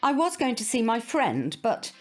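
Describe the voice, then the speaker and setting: An actress playing a prim, proud older British neighbour in an audio drama, formal and stiffly polite. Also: female voice, fairly high pitch, very animated, moderate pace, faint music behind. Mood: stiff, wistful. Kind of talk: naturalistic audio drama acting.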